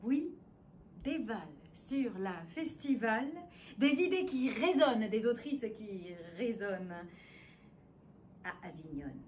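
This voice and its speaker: A woman performing a spoken-word poem in a theatrical, declamatory way, with deliberate phrasing, dramatic pauses and emphasis. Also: fairly high pitch, a little hiss or hum. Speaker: poet and stage performer.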